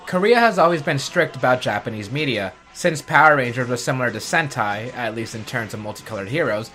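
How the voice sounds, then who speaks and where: A man hosts a video essay with scripted narration. His voice has a middle pitch, and music plays beneath it. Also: a bit bright. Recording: music bed under the voice. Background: background music.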